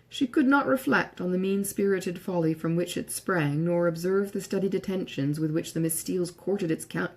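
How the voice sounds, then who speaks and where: Husky woman reading a classic novel. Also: lowish voice, a little mellow. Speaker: audiobook reader. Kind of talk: scripted literary narration.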